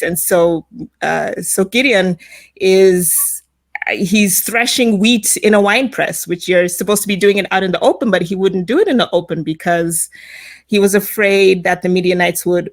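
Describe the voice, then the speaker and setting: A woman co-hosting a faith podcast, retelling a Bible story in a relaxed, storytelling way. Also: fairly low pitch, creaky. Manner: storytelling, acts out quoted lines. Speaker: podcast co-host. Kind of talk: casual faith podcast chat.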